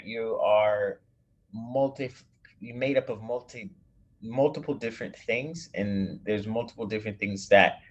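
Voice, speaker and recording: A male design instructor with a somewhat low voice talks through a class project in a recorded lecture. He explains at an easy pace and reads an example text aloud, restarting a word now and then.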